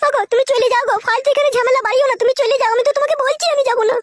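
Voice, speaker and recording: A woman's voice: very high voice, steady tone, racing. A woman voicing a plaintive, coaxing widowed village woman in a comic cartoon. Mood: pleading. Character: pleading widowed village woman, apologetic but unyielding lover.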